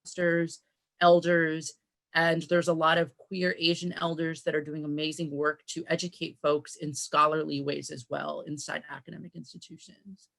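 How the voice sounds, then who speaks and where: A woman panelist speaking in an even, measured way on a video call. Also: low-pitched, gravelly. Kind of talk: panel discussion.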